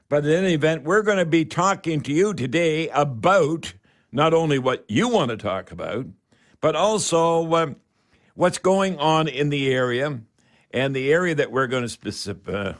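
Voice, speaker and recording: Folksy older Canadian radio host, man. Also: medium pitch. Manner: rambling, chatty, addresses listeners as folks. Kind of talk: casual, folksy live radio talk.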